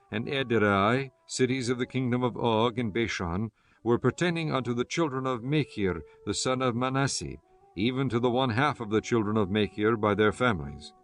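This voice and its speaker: A man reading scripture as an audiobook narrator, in a measured, formal and solemn manner. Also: fairly low pitch. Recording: music under the voice. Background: soft instrumental music.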